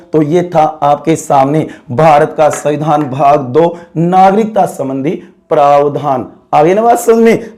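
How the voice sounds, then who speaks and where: A man's voice: high voice, dark tone. A male teacher giving an exam-prep civics lecture. He repeats key terms and keeps checking whether the students follow. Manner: drawn-out, emphatic slogan-like closing.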